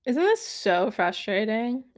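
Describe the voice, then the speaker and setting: A woman with a high voice co-casts a live card game, playful and quick to giggle. She teases the players and chats loosely with her partner. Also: big pitch swings. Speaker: esports caster.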